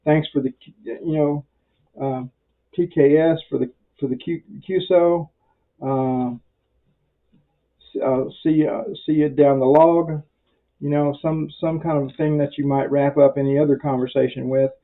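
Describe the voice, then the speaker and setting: A man giving an informal beginner tutorial in a folksy, rambling way, halting often with pauses. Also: a little high, fairly even intonation. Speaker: amateur radio instructor. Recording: very muffled, dull lo-fi audio.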